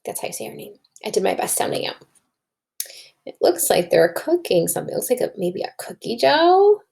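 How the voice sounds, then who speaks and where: A woman's voice: medium pitch, sing-song, a little fast, creaky, darkish tone. A woman teacher doing a children's read-aloud and story discussion, thinking aloud, recorded indoors at a computer.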